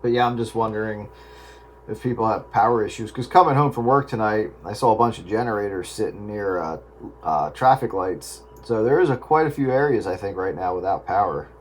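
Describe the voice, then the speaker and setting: Low-voiced man chatting over noisy radio. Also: brisk. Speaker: amateur radio operator on an on-air roundup. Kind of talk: casual radio chat. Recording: noisy two-way radio with static.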